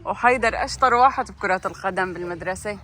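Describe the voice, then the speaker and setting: A woman's voice: medium pitch, fast, a little hiss or hum. An older woman, a grandmother, chatting casually with her grandchildren for a family video, teasing and coaxing them along. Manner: warm teasing, coaxing children, often laughing. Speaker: grandmother joining a family vlog.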